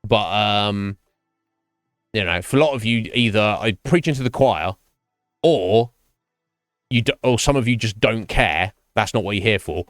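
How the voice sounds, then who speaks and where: Low-voiced man musing on stream. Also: no echo, clean studio sound, faint music behind. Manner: thoughtful, halting, talks his answers through slowly. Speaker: Twitch streamer. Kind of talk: casual live-stream chat with viewers.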